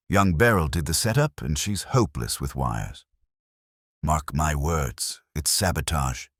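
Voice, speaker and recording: A suspicious village gossip from a storybook mystery, read by a man. The voice is very deep and dry-sounding, with colloquial, insinuating delivery. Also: dry recording. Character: gossiping, suspicious English townsfolk at a village fete.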